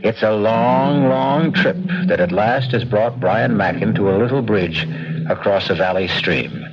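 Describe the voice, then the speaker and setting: An actor as the host and narrator of a mystery radio drama, an ominous, theatrical storyteller with an American accent. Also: male voice, on the low side, measured pace, heavy background noise. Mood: storytelling. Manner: portentous, theatrical. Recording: muffled old radio, music behind.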